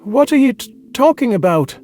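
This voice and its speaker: A man's voice: extremely high pitch, big pitch swings, darkish tone, soft background music. A man voicing a frightened, distrustful male alien, a former exterminator, who stammers and stumbles over his words. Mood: anxious. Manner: stammering, halting. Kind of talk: audiobook character voice.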